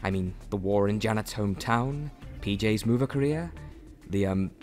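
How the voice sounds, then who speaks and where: A man's voice: lowish voice, normal speed, a little mellow, faint music behind, natural voice. A man voicing a mild-mannered, bookish book club leader in a cartoon parody, polite and a little fussy. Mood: critical.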